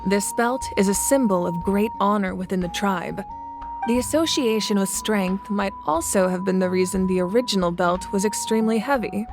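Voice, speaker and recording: A confident, worldly leader, voiced by a woman for a video game, speaking at a middle pitch. She tells long stories in a calm, measured way, and faint game music plays underneath. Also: lightly acted. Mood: explaining. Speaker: Archon of the land. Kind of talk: game voice acting.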